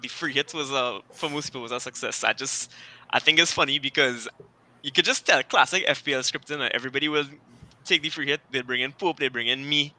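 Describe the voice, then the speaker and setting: A man's voice: mid-range voice, fairly quick, bright, forceful tone, low-quality recording. A man co-hosting a fantasy football podcast, talking in a laid-back, teasing way and laughing often. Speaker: podcast co-host. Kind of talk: casual chat about fantasy football.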